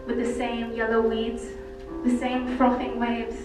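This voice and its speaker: Echoey, higher-voiced woman reciting slam poetry. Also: completely flat delivery. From Cyprus. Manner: deliberate, impassioned phrasing with dramatic pauses. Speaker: poet. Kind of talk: slam poetry recital, dramatic spoken word. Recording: very echoey, distant mic, loud music. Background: loud music.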